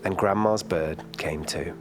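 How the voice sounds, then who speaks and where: A man reading a children's bedtime story in a calm, gentle storytelling manner. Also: low-pitched, unhurried, over music.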